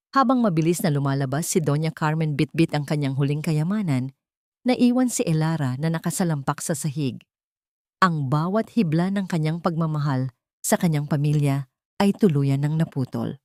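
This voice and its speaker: A woman narrates a scripted drama in a somewhat low voice. Her delivery is solemn and dramatic, and the recording is dry, with very little room sound. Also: lively intonation, moderate pace, darkish tone, no echo.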